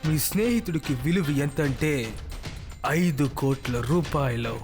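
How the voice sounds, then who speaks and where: A cartoon kidnapper who brags and threatens with emphatic relish. His voice sits a little high for a villain, over prominent background music. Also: animated, a little slow. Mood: menacing. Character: boastful, menacing male kidnapper demanding ransom. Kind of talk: cartoon dub acting. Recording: loud music underneath.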